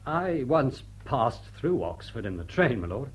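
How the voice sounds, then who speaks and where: An actor playing a suave, dry-witted butler in period drama, formal, measured and deadpan. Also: male voice, medium pitch, husky. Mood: deferential, guarded. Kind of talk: period stage comedy radio drama.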